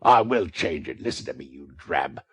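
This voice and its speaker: A man voicing a scheming old male thief-master who snarls with scorn and croaks when he coaxes. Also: rumbling low, sing-song, a little slow, dry recording, heavily acted. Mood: furious. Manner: scornful, then wheedling and croaking.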